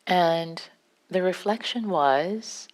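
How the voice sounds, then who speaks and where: A woman with a Farsi accent, a meditation teacher giving an unscripted dharma talk in a gentle, halting way with many restarts. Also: lowish voice. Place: meditation hall.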